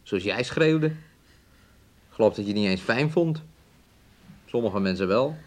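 A man's voice: lowish voice, expressive, a little slow, darkish tone. An actor in a radio play, playing a callous, impatient man who bullies his partner. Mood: irritated, menacing. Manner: brusque, impatient, refers to himself in third person. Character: domineering, callous man bullying his sick woman partner.

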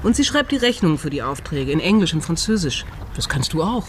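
A woman's voice: low voice, very animated, brisk. Wry, practical woman friend, faint noise behind. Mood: encouraging. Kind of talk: German radio crime drama acting.

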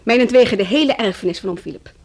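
An actress in a Dutch radio play playing a bitter, proud young wife who talks in a scornful, taunting way. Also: female voice, a little high, fast talker, a little mellow. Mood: scornful mockery.

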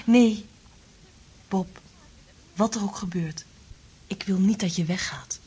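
An actress in a radio play playing an affectionate, coaxing and practical lover with a husky, breathy voice. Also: female voice, medium pitch, slow pace, mellow. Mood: tender.

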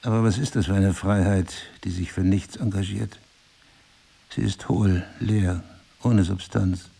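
An actor playing a remorseful middle-aged husband in an audio drama, speaking in a husky, gravelly, deliberate and intimate way. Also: male voice, low voice, steady tone, dark tone, exaggerated voice. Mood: earnest confession. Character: remorseful, pleading husband, brooding and passionate.

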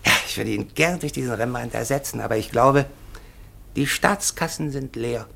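An actor playing a ponderous, dignified government minister in a German radio play, hesitant and pompous. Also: male voice, medium pitch, moderate pace, vocal fry, fairly bright tone, a little hiss or hum. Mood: confiding, regretful.

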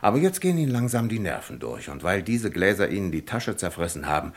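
A methodical police commissioner from a sixties radio crime play. His low voice moves expressively as he probes with dry irony, deliberately cornering his suspect. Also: big pitch swings. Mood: triumphant, pressing. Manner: probing, deliberate, ironic, drawn-out questioning. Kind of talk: 1960s German radio crime drama acting.

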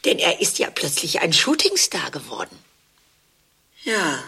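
A woman's voice: deep voice, huge pitch swings, unhurried, creaky, pushed and bright, husky. A woman acting in a German radio play, with a wry, ironic way of narrating and speaking.

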